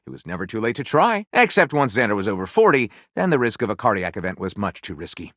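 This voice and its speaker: Lively, theatrical, self-satisfied storyteller. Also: male voice, lowish voice, fast, dry recording, clean studio sound, heavily acted. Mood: dramatic narrating. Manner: grandiose storytelling with wry, sarcastic asides. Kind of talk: video game character voice lines.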